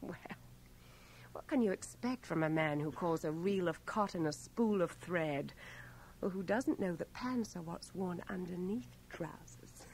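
An actress playing a tender, teasing young English volunteer nurse in a radio drama, poised and brisk. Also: female voice, lowish voice, animated, gravelly, darkish tone, slight background noise. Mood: affectionate. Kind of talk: 1980 radio drama acting.

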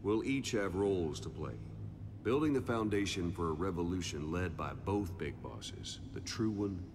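An actor playing a calculating older soldier and strategist, grave and calmly resolute, with deliberate pauses, in dramatic game cutscene dialogue. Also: male voice, deep voice. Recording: long reverb, clear background noise.

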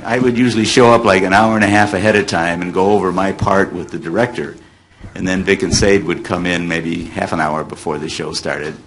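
An American man in his seventies speaks in a low, creaky voice, telling stories to an audience from a panel table. He draws out key words with relish, and there is light noise in the recording. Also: fairly even intonation, fairly quick, fairly bright tone. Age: 70s. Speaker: former child radio actor on a panel. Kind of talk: reminiscing to an audience, taking questions. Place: hotel meeting room, panel table. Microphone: table microphone on stand. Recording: noisy hotel meeting-room amplification.

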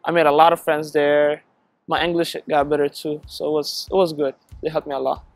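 Young man in a relaxed outdoor interview. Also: on the high side, brisk, vocal fry. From Ethiopia. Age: young adult. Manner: relaxed, with fillers like "like". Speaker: high school graduate. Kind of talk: casual testimonial. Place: outdoors by a brick building.

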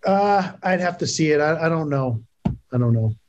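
A man's voice: on the high side, lively intonation, normal speed, muffled recording, a little hiss or hum. A man in his forties, a hockey coach answering postgame press questions over a laptop video call from his office, plain-spoken with frequent restarts and fillers. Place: office with cinder-block walls.

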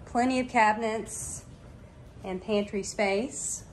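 A woman's voice: mid-range voice, fairly even intonation, slow pace. Woman giving a home tour, noisy recording. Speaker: real estate agent giving a property tour. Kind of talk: informal walk-through tour narration. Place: walking through a house and its yard.

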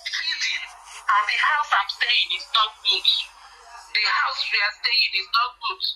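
A woman's voice: fairly high pitch, a little slow, pushed and bright. A Nigerian woman being interviewed over a tinny phone call, speaking in an emphatic, aggrieved, repetitive way.

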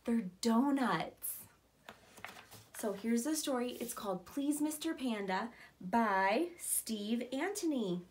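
High-voiced woman reading to small children. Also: slow. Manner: expressive, explaining simply to small children. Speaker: early childhood teacher. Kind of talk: children's read-aloud with questions and commentary. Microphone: camera a short distance away.

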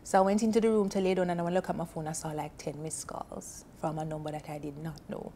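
A woman's voice: sits low, quick pace, slight background noise. Earnest Jamaican woman sharing a story. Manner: earnest, emotional, animated with hand gestures. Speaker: panel guest. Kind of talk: personal story on a TV panel. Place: indoor TV studio set on a sofa. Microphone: lapel mic.

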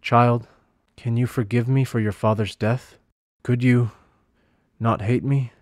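A man playing a remorseful former soldier who speaks gravely and slowly. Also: medium pitch, steady tone, dry recording, studio quality, natural voice. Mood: solemn. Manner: grave and slow.

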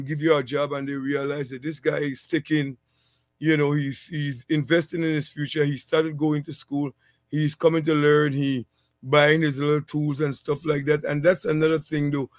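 Island-raised man in his thirties, vlog host, easygoing and rambling, fairly high voice, dry close headset sound. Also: dry recording. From a small island. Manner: relaxed, rambling, many fillers. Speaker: channel host, HVAC technician. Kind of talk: casual advice vlog.

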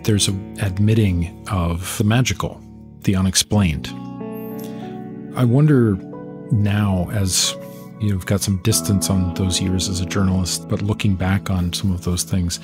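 A man hosting a thoughtful literary interview in a measured, articulate way. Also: low-pitched, steady tone, music in the background. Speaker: podcast host, interviewer.

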